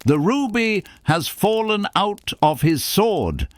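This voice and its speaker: A man's voice: high-pitched, sing-song, takes it slowly, no room sound, pitched above the performer's own voice, full character voice. A man voicing a pompous, self-important town mayor in a storybook, in exclamatory phrases. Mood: disdainful.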